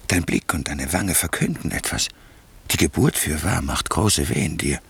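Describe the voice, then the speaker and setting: An actor playing a king's doubtful, ambitious brother in a German radio verse drama, with a rough voice and a wry, probing, theatrical delivery. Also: extremely low pitch, expressive, moderate pace, exaggerated voice. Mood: wry, curious. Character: idle royal brother, doubtful, then greedy conspirator.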